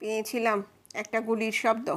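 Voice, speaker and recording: A woman's voice: on the high side, completely flat delivery, brisk, natural voice. A woman voicing a cautious male neighbour testifying in a courtroom audio drama, subdued and hesitant, hurrying through explanations.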